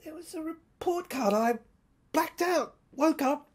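A woman performing a dramatic first-person monologue in halting short phrases with pauses, breathy and husky, sometimes dropping towards a whisper. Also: high-pitched.

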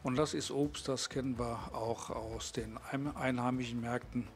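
A man's voice: medium pitch, steady tone, moderate pace, over loud music. A man, presenting and narrating a vlog. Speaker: vlogger.

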